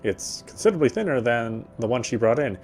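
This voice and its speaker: A man's voice: medium pitch, very animated, a little fast, a little mellow, soft background music. An actor playing a weary male city detective, narrating in the first person with a wry inner voice.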